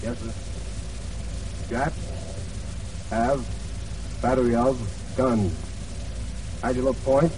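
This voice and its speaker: Dutiful sailor reading signals slowly, old radio drama, dull tone, faint rumble behind. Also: male voice, fairly even intonation, a little mellow. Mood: concentrating. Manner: halting, word-by-word delivery. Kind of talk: 1940s radio drama acting. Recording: muffled, distant, noisy old radio recording.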